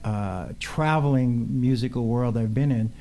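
A man's voice: mid-range voice, unhurried, vocal fry, mellow, slight background noise. An older man from Brooklyn chatting casually and reflectively as an interview guest, rambling a little. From Brooklyn, New York. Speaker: studio guest, musician.

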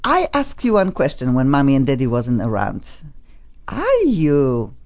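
A woman with a Polish accent hosts a talk show from a studio with a low voice that rises and falls widely as she talks. She is enthusiastic and doubles up her words for emphasis. The recording sounds a bit dull and lo-fi. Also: wildly swooping pitch, a little slow, darkish tone. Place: studio on a top floor.

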